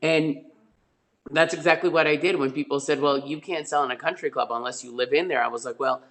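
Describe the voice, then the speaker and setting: A man's voice: fairly high pitch, fairly even intonation, brisk, a bit bright. A middle-aged man, a real estate agent who grew up in Spain, telling stories from his career on a webinar in a rambling way, with many fillers and restarts, over a video call. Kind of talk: webinar guest storytelling.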